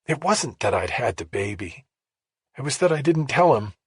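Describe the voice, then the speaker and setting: A man voicing a grieving, guilt-ridden middle-aged mother in an audiobook, sorrowful and halting. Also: mid-range voice, big pitch swings, slightly rough, no echo, studio quality, pitched above the performer's own voice. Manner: sorrowful, halting, bitterly resigned.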